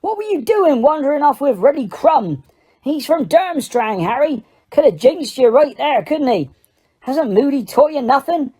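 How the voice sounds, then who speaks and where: A woman doing a gruff giant of a gamekeeper. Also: mid-range voice, animated, normal speed, mellow, lightly acted. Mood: scolding. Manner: growling, blunt. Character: huge gruff male gamekeeper, protective and hot-tempered.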